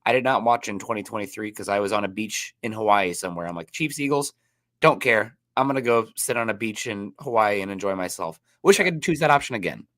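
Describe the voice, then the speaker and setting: Male co-host, lowish pitch, plugged up with a sore throat, casual and rambling, dry close recording. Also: expressive, quick pace, a bit bright, dry recording. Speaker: podcast co-host. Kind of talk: casual chat.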